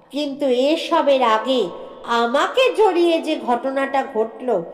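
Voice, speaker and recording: A woman narrating a short story in a measured, expressive, dramatic audiobook style. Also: sits high. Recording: echoey, long reverb.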